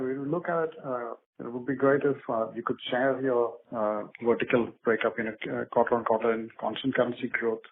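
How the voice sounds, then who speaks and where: A man's voice: medium pitch, creaky. A man calling in as an analyst with polite business questions on a conference call. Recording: tinny, noisy phone line.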